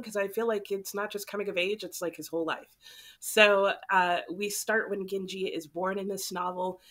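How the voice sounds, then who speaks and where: A young woman hosting her book channel, chatting casually to camera while a little sick, so her voice sounds slightly off. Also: mid-range voice. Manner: chatty, relaxed, rambling.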